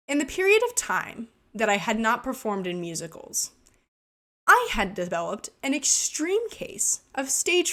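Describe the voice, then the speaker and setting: A woman's voice: a little high, fairly bright tone. A woman vlogger telling an embarrassing story in a casual, self-deprecating way while narrating gameplay. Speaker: gaming vlogger.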